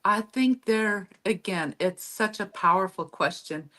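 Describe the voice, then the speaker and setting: A woman whose first language is Low German, giving reflective, conversational answers as an interview guest. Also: measured pace, pushed and bright. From Mexico, then Texas from childhood.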